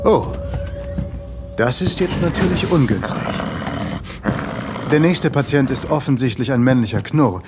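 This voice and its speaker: A courteous, mysterious male flatterer played by an actor in a comic sci-fi audio play. He talks suavely and presumptuously in a medium-pitched voice over clearly audible music. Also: unhurried, long pauses, lightly acted. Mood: smoothly presumptuous. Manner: smooth-talking, courteous, presumptuous. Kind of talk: comedic sci-fi audio drama acting.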